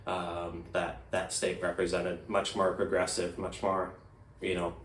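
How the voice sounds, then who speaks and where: American guy with a low voice answering interview questions, kinda halting, mic sounds far off. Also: monotone, a bit bright, slight background noise. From United States. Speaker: interviewee, migrant. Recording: echoey room, distant microphone.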